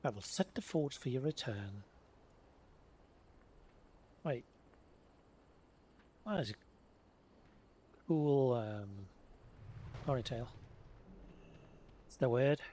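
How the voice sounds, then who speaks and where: A man's voice: medium pitch, lively intonation, extremely slow, long pauses, dark tone, a little hiss or hum. A man doing casual let's play commentary on a role-playing game, sometimes reading game text aloud. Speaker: gaming streamer playing an RPG.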